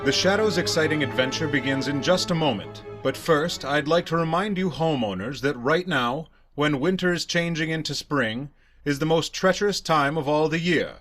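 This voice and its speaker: Casting: male actor as vintage radio announcer, fairly high voice, dramatic salesmanship, music behind him. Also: normal speed, natural voice. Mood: persuasive. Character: dramatic 1930s radio show announcer and pitchman. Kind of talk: 1930s radio announcing and advertising.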